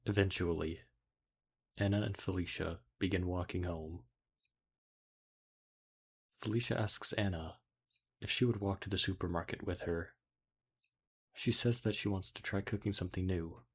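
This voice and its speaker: A man's voice: deep voice, steady tone, normal speed, pauses often, husky. A man reading scripted narration, retelling a story.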